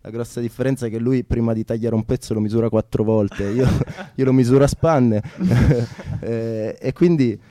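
A man's voice: mid-range voice, warm tone, no echo, studio quality. A man chatting casually on the radio in a playful, mock-formal manner. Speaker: theatre technician and occupier, interviewing a colleague. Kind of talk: casual unscripted radio chat.

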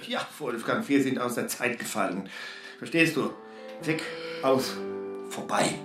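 An actor playing a forgotten, self-important writer, aggrieved and insistent, in a radio play. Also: male voice, mid-range voice, animated, a little slow, over music, lightly acted. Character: forgotten, self-regarding, aggrieved male experimental radio playwright. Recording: roomy, distant from microphone.

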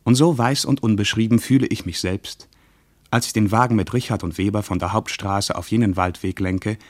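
Low, dry-sounding man acting in a radio play. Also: steady tone, normal speed, no room sound, close to an everyday voice. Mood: reflective, uneasy. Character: practical, reflective male traveller, slightly impatient, uneasy. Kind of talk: radio play acting, dialogue and diary monologue.

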